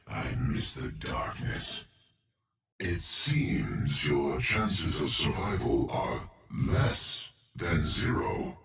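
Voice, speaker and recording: A man's voice: a bit bright, exaggerated voice. An actor voicing a male alien eyeball creature, a cold, curious scientist who speaks in detached, ominous pronouncements. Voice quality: deep, slow, gravelly and clinical. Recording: roomy game audio with crowd noise behind.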